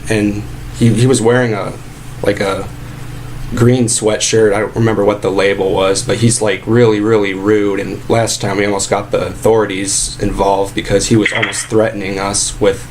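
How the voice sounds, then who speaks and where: A man acting as an aggrieved fast-food worker with a fairly low voice, lodging a casual complaint. He rambles and stalls, repeating himself as he goes, over a noticeably noisy recording of a prank call. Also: level tone, lightly acted. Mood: earnest, aggrieved. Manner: rambling, halting, repetitive. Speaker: prank caller posing as a sandwich shop employee.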